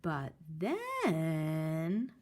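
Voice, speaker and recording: Slow, very animated woman reading a storybook. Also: sits low. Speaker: story reader. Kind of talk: animated children's picture book read-aloud.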